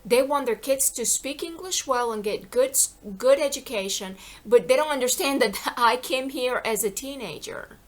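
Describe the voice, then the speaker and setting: A woman's voice: high-pitched, normal speed, few pauses. A middle-aged woman in an impassioned personal monologue. She rambles and repeats herself, with many "you know"s, and pleads with her viewers. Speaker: vlogger.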